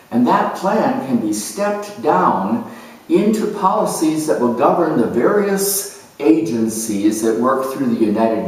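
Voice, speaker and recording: A man's voice: mid-range voice, pitched below the performer's own voice. Man playing a serene visionary idealist, very echoey. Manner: solemn, measured, reverent. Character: serene, visionary, high-minded male global idealist. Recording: echoey room, distant microphone.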